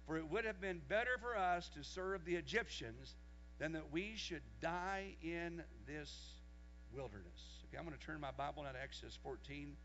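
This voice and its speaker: A man in his sixties preaching a sermon, reading scripture aloud and rising loud when he quotes it. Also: medium pitch, creaky. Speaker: pastor. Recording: dull lo-fi sermon recording with mains hum.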